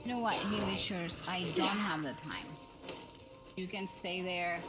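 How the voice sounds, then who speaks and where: A woman's voice: lowish voice, unhurried. A woman live streamer chatting casually and thinking aloud while she plays a game. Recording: music playing behind the voice. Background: background music.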